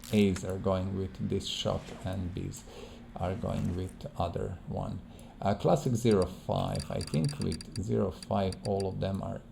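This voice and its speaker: Low, gravelly non-native male game commentator. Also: noisy background. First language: not English. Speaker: gaming video host. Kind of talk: casual playthrough commentary.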